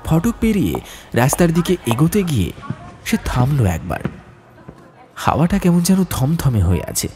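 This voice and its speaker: A man narrating a scripted horror story in a literary, expressive, dramatic way. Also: a little high, normal speed, pauses often. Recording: music under the voice. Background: background music.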